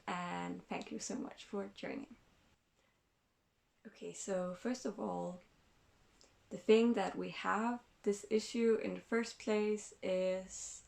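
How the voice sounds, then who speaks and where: A woman from Denmark talks slowly and thoughtfully in a casual vlog, often pausing to search for words. Her voice sits a little low and has a gravelly, creaky edge. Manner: thoughtful, hesitant, many fillers and restarts. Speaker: channel host.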